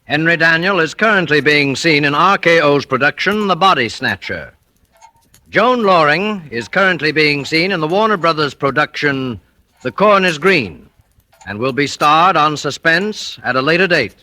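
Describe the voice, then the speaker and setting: A man's voice: on the high side, expressive, moderate pace, bright, forceful tone, no room sound. A man reading scripted program announcements in a formal, dramatic 1940s radio style. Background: clear music.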